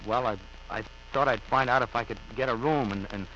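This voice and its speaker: An actor playing a nervous, guilty husband who killed his wife, in a 1940s-style radio thriller with halting, stammering speech. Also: medium pitch, pushed and bright, slight background noise, natural voice. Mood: nervous, evasive. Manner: stammering, halting when questioned. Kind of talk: 1940s radio thriller acting, first-person narration and dialogue. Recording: dull vintage radio recording with music.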